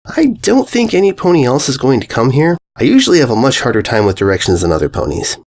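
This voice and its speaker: Man putting on a high-voiced dim, cheerful lady pony. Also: huge pitch swings, quick pace, a little mellow. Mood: carefree. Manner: airy, naive, upbeat. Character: dim-witted, cheerful, innocent adult female cartoon pony. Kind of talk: storybook narration character voice.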